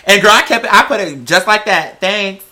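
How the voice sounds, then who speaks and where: A man telling personal stories as a host in a casual, sassy, dramatic way, with animated emphasis and lots of slang and swearing. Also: high-pitched, normal speed. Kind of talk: casual chat, personal storytelling.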